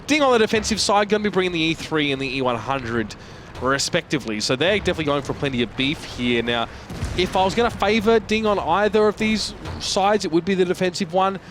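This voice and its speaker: Man, esports caster, energetic, lively pitch swings, rapid. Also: a little hiss or hum. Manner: energetic, fast, swooping emphasis. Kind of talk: esports match commentary.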